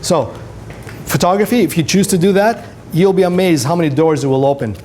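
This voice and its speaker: A middle-aged man, born in Greece with Greek as his first language, talks to students in a conversational, anecdotal way. His voice sits a little high for a man, and he often checks in with short tag questions. A faint room noise runs behind him. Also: brisk, a little mellow. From Greece, moved to Canada at twelve. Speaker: photographer, career day speaker. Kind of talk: informal motivational talk to students. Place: school classroom, indoors. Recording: noisy room with background hiss.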